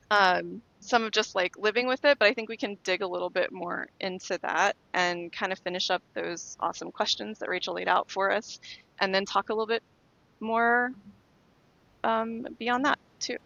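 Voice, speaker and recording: A woman's voice: mid-range voice, normal speed, vocal fry, sharp tone, no room sound. A woman co-hosting a podcast in a casual, conversational way, on a dry, slightly dull recording. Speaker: podcast co-host.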